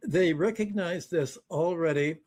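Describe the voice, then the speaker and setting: This male interview guest has a fairly high, husky voice. He offers unscripted political commentary at a very slow pace, often halting to find the next word. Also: a little mellow, dry recording. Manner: halting, searching for words.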